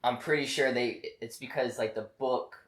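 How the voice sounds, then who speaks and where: A man's voice: medium pitch, fairly even intonation, normal speed. A young man explaining points casually on a film review panel, with many fillers. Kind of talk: casual group chat. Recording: roomy echo.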